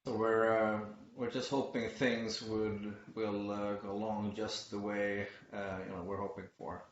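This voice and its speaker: A man's voice: on the low side, level tone, unhurried. A middle-aged man from Sweden giving an online conference presentation, hesitant with many fillers and restarts. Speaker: conference speaker. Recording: muffled lo-fi audio in an echoey room.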